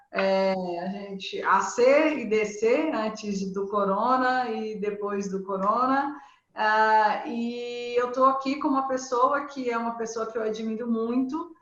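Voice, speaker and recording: Woman host, slightly high voice; thoughtful, halting delivery; dull, echoey video-call sound. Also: a bit bright. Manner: hesitant, many fillers and restarts, reflective. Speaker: host and interviewer. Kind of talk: interview hosting.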